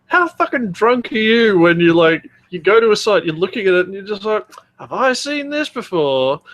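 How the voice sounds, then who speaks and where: A man's voice: high voice, sing-song, normal speed, fairly bright tone, muffled recording. A man co-hosting a comedy podcast in casual banter, sardonic and prone to swearing. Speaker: podcast co-host. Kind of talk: casual banter and mock-dramatic readings.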